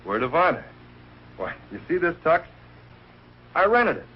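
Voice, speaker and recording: An actor playing an earnest, polite young American college suitor in a 1940s sitcom, speaking eagerly and a little haltingly. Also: male voice, a little high, big pitch swings, breathy edge, full character voice. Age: young adult. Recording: dull old broadcast, faint hiss.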